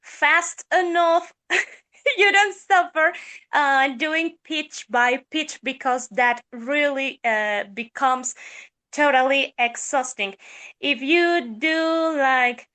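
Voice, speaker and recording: A woman's voice: high-pitched, slow, sharp tone. A woman soprano discussing singing technique on a podcast, speaking thoughtfully with many hesitations. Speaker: soprano singer.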